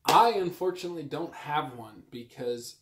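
A man's voice: a little high, lively intonation, normal speed, fairly bright tone, a little hiss or hum. A young man chatting casually about books as a co-host of a home video. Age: young adult. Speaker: book vlogger co-host. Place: small room at home with bookshelves. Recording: roomy echo from camera distance.

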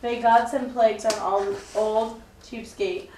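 A woman's voice: a little high, fairly even intonation, unhurried. A young woman reading scripted storytelling narration for a puppet show. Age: young adult. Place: indoor room, puppet show recording. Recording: echoey room, distant mic, faint noise.

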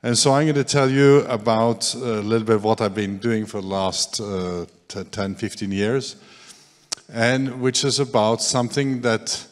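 A man in his sixties giving a loud, casual, self-deprecating lecture into a handheld mic in a large hall. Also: lowish voice, fairly even intonation, a little slow. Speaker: speaker. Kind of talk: public lecture. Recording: long reverb of a large hall.

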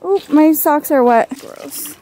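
A young woman in her twenties vlogging casually to a handheld camera, chatty and quick to startle. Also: high voice, warm tone. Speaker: outdoor vlogger. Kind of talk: casual vlog narration. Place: motel room, then outdoors in a pine forest. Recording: noisy handheld camera audio.

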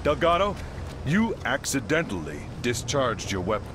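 A man playing a sharp-tongued, direct squad soldier in a video game. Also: medium pitch, very animated, moderate pace, noisy background, lightly acted. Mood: dry, pointed. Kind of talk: dramatic video game acting.

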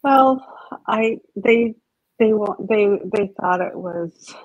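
A woman's voice: mid-range voice, slow, darkish tone, dry recording. A middle-aged woman from Egypt with a slight Egyptian Arabic accent, speaking as a guest on a video call and often restarting her phrases. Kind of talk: casual video-call interview. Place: home office. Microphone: webcam on a video call. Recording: dull, lo-fi video-call audio.

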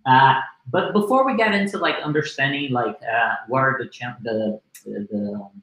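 A man's voice: mid-range voice, normal speed, a bit bright. A young man from Brazil hosting a live webinar over a video call, talking casually and enthusiastically with lots of fillers. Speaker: webinar host. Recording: roomy echo on a video call.

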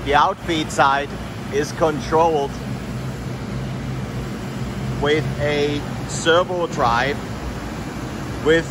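A man presenting a technical product demonstration in a deliberate, halting way, phrase by phrase. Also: fairly high pitch. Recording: loud machinery and air-handling hum.

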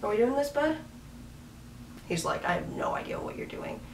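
A woman's voice: fairly high pitch, sing-song, normal speed, a little hiss or hum. Young woman, casual rambling vlog chat, camera mic a few feet off, echoey small bedroom. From Washington State, US. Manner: chatty, rambling, laughs at herself. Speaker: vlogger. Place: small bedroom, indoors, on a bed with a dog. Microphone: camera mic from a few feet away. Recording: roomy, slightly distant camera audio.

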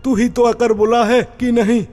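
A man's voice: extremely high pitch, level tone, moderate pace, a little mellow, faint music behind. A man voicing an irritable, blustering old village cleric in a cartoon, grumbling indignantly. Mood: irritated. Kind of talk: cartoon voice acting.

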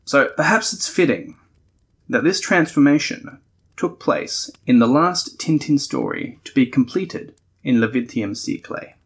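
A man's voice: mid-range voice, a bit bright. A man hosting a podcast, giving a conversational, partly scripted review in a thoughtful, explanatory way. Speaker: podcast host.

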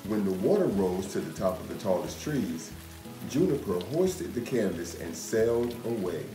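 A man in his thirties reading a children's picture book aloud in an expressive, measured storytelling manner. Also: lowish voice. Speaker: storytime reader, host. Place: indoor room. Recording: roomy echo. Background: music.

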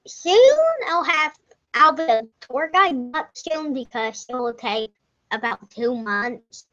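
Slow-talking young woman narrating gameplay. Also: high voice, animated, fairly bright tone. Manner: rambling, self-correcting, slips into whispers. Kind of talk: casual game commentary. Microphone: desk microphone. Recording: dull lo-fi home mic.

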